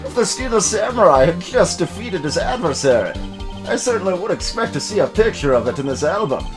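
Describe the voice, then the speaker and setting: A man's voice: high voice, sing-song, brisk, over music. Man voicing a formal older courtroom judge. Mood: stern, considering.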